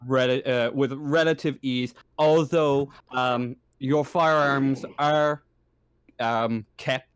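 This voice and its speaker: A man narrating a tabletop roleplaying game as game master, improvising in a halting way and often rephrasing himself. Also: medium pitch, fairly even intonation, smooth, dry recording.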